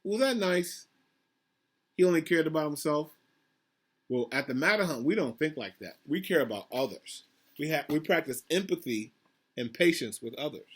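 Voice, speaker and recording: A man, a school principal, reading a picture book aloud to young children in a simple, instructive manner, pausing to ask questions. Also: on the high side, expressive, breathy edge.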